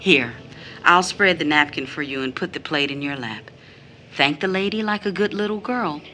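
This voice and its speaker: A woman's voice: low voice, normal speed, pushed and bright, faint music behind. An actress playing a tired, numb, poor mother, wary and protective, with blunt questioning, in an audio drama. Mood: protective, coaxing. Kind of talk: scripted audio drama acting.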